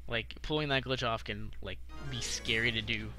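A young adult man commentating casually on a video game for a live chat, slangy, profane and excitable, often repeating himself. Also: medium pitch, normal speed, over music. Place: live game stream with game music behind the voice.

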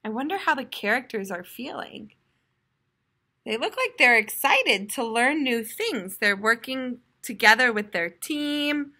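A young American woman reads aloud like a primary school teacher, explaining as she goes. Her voice is fairly high and bright, with animated, expressive pitch. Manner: expressive, explanatory, clearly addressed to children. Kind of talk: read-aloud lesson for young children. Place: classroom, indoors.

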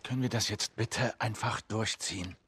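A man's voice: fairly low pitch, normal speed, natural voice. A male actor playing a stern, weary middle-aged survivor in a dubbed video game, terse and no-nonsense. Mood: stern, impatient. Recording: noisy game cutscene soundtrack.

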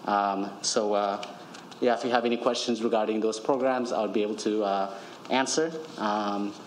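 A man's voice: on the low side, fairly even intonation, moderate pace, a little hiss or hum, rough recording. A young man from Ethiopia introducing himself at a council podium, polite and hesitant with many fillers. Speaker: city GIS analyst and projects manager. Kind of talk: self-introduction at council meeting. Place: large council chamber at podium. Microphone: podium microphone.

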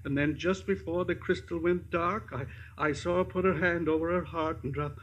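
A man's voice: on the high side, steady tone, normal speed, fairly bright tone, muffled recording. A man playing a grandiloquent, kindly American fortune-telling humbug, with theatrical bluster in a 1950s radio drama. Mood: mysterious. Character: showy, kindly male travelling fortune-teller and humbug.

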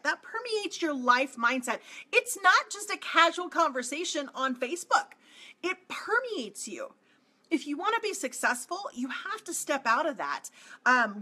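Brief: forties woman, high bright voice, coach talking to camera, emphatic and repetitive. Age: 40s. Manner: emphatic, repeats phrases, animated with hand gestures. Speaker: marketing coach. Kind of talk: direct-to-camera motivational talk. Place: small home office indoors.

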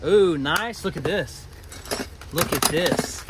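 Excited man rummaging in a storage unit. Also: fairly high pitch. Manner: excited, rapid commentary while digging through boxes. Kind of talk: casual vlog narration. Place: indoor storage unit with metal walls. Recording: noisy storage unit ambience.

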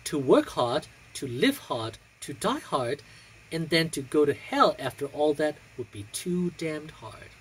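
A man with a husky voice and a theatrical, deliberate delivery, speaking indoors into a phone a short distance away. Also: a little high, big pitch swings, a little hiss or hum. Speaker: drag performer and reader. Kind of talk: casual vlog chat and expressive poetry reading. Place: small room indoors. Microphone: phone on a stand a short distance away.

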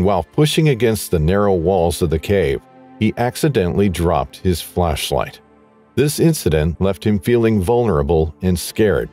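A man with a deep, low voice reads a scripted documentary narration. His delivery is even and measured. A faint music bed plays beneath him.

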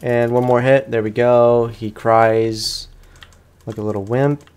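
A man's voice: mid-range voice, flat delivery, takes it slowly, darkish tone, smooth. Man, slangy casual gaming commentary. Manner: slangy, talks to himself and to the game enemy. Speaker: gaming host, let's play streamer.

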